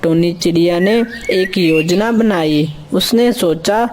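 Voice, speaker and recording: A woman's voice: lowish voice, takes it slowly, darkish tone, slight background noise. A woman narrator reading a scripted children's story.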